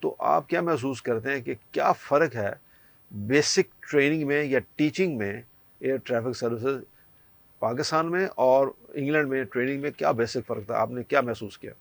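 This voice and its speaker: Pakistani guy doing a chatty polite interview, normal pitch, no room echo. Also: normal speed, creaky. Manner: frequent brief acknowledgements, polite questions. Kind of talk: conversational interviewing.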